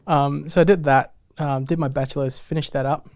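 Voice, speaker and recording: A man's voice: on the high side, fairly even intonation, fairly quick, a little mellow. A man in his late twenties from Malaysia, a guest chatting casually in a self-effacing, rambling way. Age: late 20s. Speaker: interview guest, campus ministry missionary. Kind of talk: casual chat.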